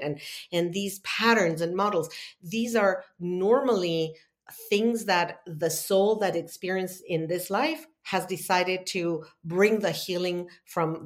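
A woman from Argentina, a podcast guest, talking earnestly in a casual conversation. She restarts her sentences often and jumps up high when she imitates the people she quotes. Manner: earnest and animated, with frequent restarts; voices quoted callers in a high, lively tone.

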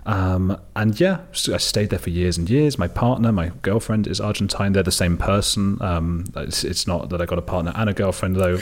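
A deep-voiced English man in his thirties talks easily as a guest. He jokes and mocks himself along the way, and his speech stays loose and conversational. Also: moderate pace, few pauses. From England. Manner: chatty, joking, self-deprecating. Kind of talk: casual conversation.

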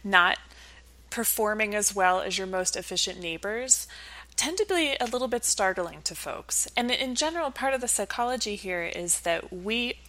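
A woman's voice: medium pitch, expressive, moderate pace, pushed and bright, poor audio. A woman speaking as an academic researcher in an interview, explaining conversationally with everyday examples.

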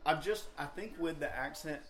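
A man with a light Southern US accent chats casually about football as a podcast co-host. His voice sounds bright and forward, and he rambles through his points with lots of fillers and restarts. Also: a little high, normal speed. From southern United States.

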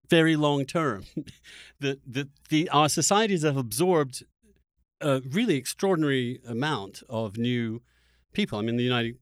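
A man hosting a conversational political discussion, speaking emphatically and haltingly with repeated words. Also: medium pitch, dry recording, studio quality. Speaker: podcast host and interviewer.

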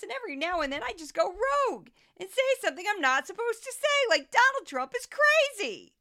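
A folksy male morning TV host, performed by a woman in a satirical radio play. He whines and rambles in an extremely high, lurching voice, thoroughly fed up beneath the chatty patter. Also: bright, forceful tone, full character voice.

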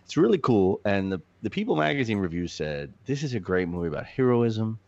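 Low-voiced man, dull dry lo-fi sound. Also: a little fast, creaky. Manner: animated, swears, jokes, gets choked up. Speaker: filmmaker guest. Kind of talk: casual podcast chat.

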